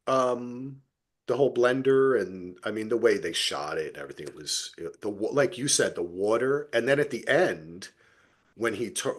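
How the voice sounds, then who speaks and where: Husky middle-aged man, chatty podcast host. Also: lowish voice. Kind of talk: casual TV recap podcast chat.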